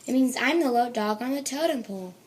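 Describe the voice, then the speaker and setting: A woman's voice: a little high, expressive, fairly quick, a little mellow, a little hiss or hum, natural voice. A woman voicing a playful, boastful little boy kitten who fancies himself a Chihuahua daredevil in a storybook. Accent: put-on Spanish. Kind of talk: storybook character voice.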